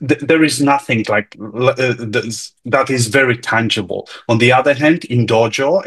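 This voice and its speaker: A man's voice: mid-range voice, fairly bright tone. A man from Poland with a Polish accent, speaking up in a discussion in a chatty way, with some stammered restarts. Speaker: participant. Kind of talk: casual discussion.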